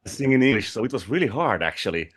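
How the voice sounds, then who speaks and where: A man's voice: medium pitch, sing-song, fast talker, slightly rough. A man whose first language is Finnish, a musician guest speaking English with a Finnish accent in a casual, friendly interview with restarts and light laughs. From Finland.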